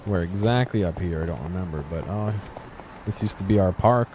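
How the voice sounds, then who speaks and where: Low-voiced man with noise behind. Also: a little fast. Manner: low-key, musing to himself. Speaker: gaming video host. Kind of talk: casual off-the-cuff game exploration commentary.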